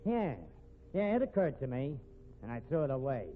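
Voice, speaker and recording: An actor playing a gruff, stubborn, irritable police detective, blunt and slangy, in 1950s radio drama. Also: male voice, mid-range voice, sing-song, normal speed, natural voice. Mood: dogged, accusing. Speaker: police investigator. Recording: tinny, noisy vintage radio recording.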